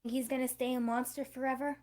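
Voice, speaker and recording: Woman playing a high-pitched, feisty young girl. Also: flat delivery, brisk, natural voice. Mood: sarcastic. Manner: bossy, sharp-tongued. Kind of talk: animated series table read.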